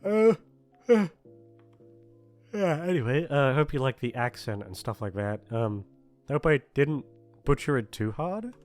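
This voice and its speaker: A male channel host rambles through a casual outro. He is awkward and self-deprecating, halting often and drifting along at an unhurried pace over faint music. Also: mid-range voice, animated, a little mellow. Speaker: voice actor hosting his channel.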